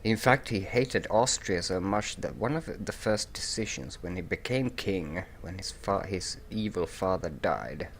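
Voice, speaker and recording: Man, deep voice, slow, groggy morning voice, rambling let's play commentary, home desk mic. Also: vocal fry, slight background noise. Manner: rambling, many ums and restarts. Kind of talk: casual game commentary.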